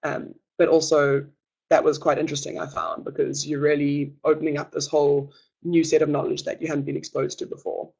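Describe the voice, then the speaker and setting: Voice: mid-range voice, little pitch movement, vocal fry, darkish tone, husky, lo-fi sound. Hesitant young presenter. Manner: hesitant, reassuring, many filler pauses. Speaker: student presenter. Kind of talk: webinar presentation.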